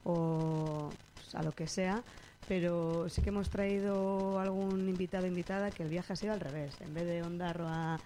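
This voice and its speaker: Female host, Spain Spanish accent, lowish pitch, informal interjecting interviewer, slight noise behind. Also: fairly even intonation, normal speed, mellow, low-quality recording. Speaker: host of a travel talk show. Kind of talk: casual interviewing.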